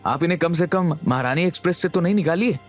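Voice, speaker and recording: Courteous young man with a higher voice, acted. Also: fast, faint music behind, lightly acted. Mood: polite, pleading. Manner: courteous, persuasive. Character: calm, polite, devoted young man.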